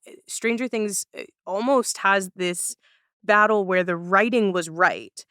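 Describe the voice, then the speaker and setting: Bright, clear podcast host in casual chat. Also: medium pitch, lively intonation, unhurried, no echo, clean studio sound.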